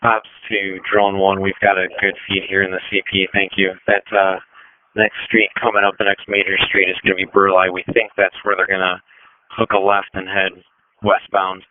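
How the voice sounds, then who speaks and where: Over a narrow, tinny police radio comes a man's low, smooth voice with little rise and fall. He gives measured, procedural instructions as an air operations coordinator. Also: monotone. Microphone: two-way radio.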